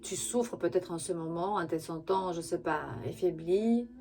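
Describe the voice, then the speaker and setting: A woman who is not a native French speaker gives an intuitive tarot reading straight to the listener, halting as she searches for words. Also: lowish voice, darkish tone, faint music behind. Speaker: spiritual tarot reader and channel host.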